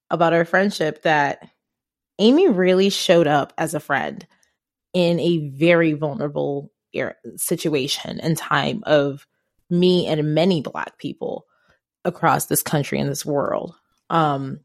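A woman from Ghana hosting a podcast, telling personal stories in a casual, emphatic way and speaking straight to her listeners. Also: sits low. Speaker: podcast host. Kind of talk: casual conversational storytelling.

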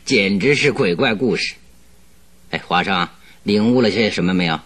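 An actor in a radio drama playing a composed, dryly amused male detective who questions in a measured, concise way. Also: fairly low pitch, animated, a bit bright, husky, full character voice.

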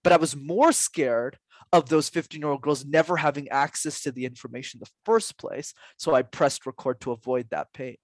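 A man's voice: fairly high pitch, normal speed, no room sound. Earnest young man coaching, dry close sound. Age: 20s. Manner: earnest, emphatic, talks with rhetorical questions and repeated "like". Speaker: public speaking coach, podcast guest. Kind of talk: interview answers, motivational.

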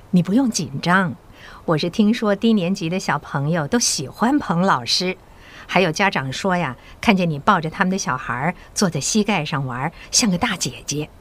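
An actress playing a mature, patriotic school principal in an audio drama, encouraging and persuasive with measured authority. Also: female voice, fairly low pitch, lively intonation, fairly bright tone. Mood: kindly, encouraging.

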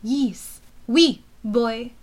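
A woman voicing a cruel, fawning male henchman with a French accent and a halting, sneering delivery. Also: on the high side, husky, exaggerated voice.

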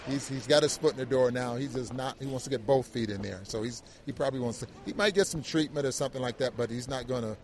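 A man's voice: mid-range voice, quick pace, few pauses. A man giving conversational post-game analysis, often repeating words and circling back as he makes his point. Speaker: sports analyst.

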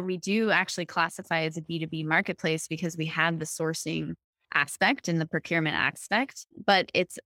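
Woman, low voice, fluent startup pitch, dry close sound. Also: a bit bright, dry recording. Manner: fluent, explanatory, fast-paced pitch. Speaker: startup founder. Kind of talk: business interview.

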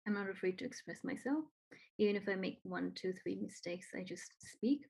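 A woman's voice: medium pitch, a little fast, gravelly, darkish tone, slightly rough, poor audio. A woman from Nepal who speaks English with a Nepali accent, talking earnestly and encouragingly with frequent ums and repeated phrases. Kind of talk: casual conversation.

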